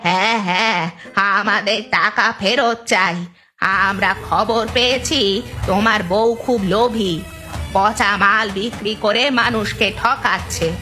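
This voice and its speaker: A woman's voice: medium pitch, steady tone, measured pace, bright, forceful tone, soft background music. A woman playing an accusing, demanding villager in a children's cartoon. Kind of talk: Bengali children's cartoon dialogue.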